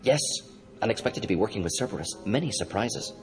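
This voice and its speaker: A man playing an eccentric alien scientist doctor in a video game, speaking in brisk telegraphic fragments as if thinking aloud, over music. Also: fairly low pitch, lively intonation, natural voice. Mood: brisk, matter-of-fact. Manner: clipped telegraphic phrases, thinking aloud, articles dropped.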